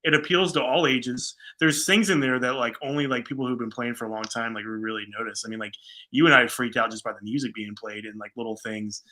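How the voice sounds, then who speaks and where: A man's voice: medium pitch, fairly even intonation, quick pace, bright, forceful tone. A man in his thirties co-hosting a casual movie discussion, talking emphatically like an opinionated fan. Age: 30s. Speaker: podcast co-host.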